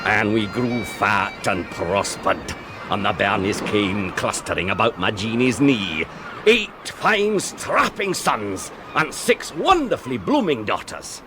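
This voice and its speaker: A man's voice: fairly low pitch, big pitch swings, slow, over music. An actor playing a boastful, gleeful Scottish cannibal outlaw in a radio play, telling his tale theatrically and with relish.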